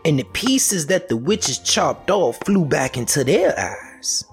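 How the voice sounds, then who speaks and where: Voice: mid-range voice, big pitch swings, slow, slightly rough. A storyteller retelling a folk tale in a folksy, dramatic way, with music behind. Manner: folksy, dramatic, repetitive chanting delivery.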